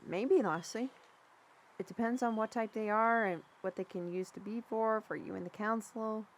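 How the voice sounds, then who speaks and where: A woman playing a plain-spoken mentor in a fantasy audio drama, telling things in a folksy, measured way with dramatic emphasis. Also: fairly high pitch. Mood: explanatory. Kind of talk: fantasy audio roleplay, storytelling.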